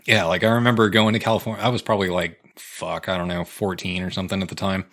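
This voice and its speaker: A man's voice: deep voice, level tone, quick pace, a bit bright. An American man in his twenties co-hosting a podcast with casual, profane, slangy comedic chat. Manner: profane, slangy, often breaking into laughter. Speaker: podcast co-host.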